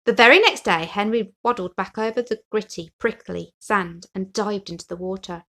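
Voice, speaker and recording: A woman narrates a children's storybook. Her voice sits at a medium pitch, and she reads expressively, telling the tale for young listeners. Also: moderate pace, sharp tone. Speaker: story reader.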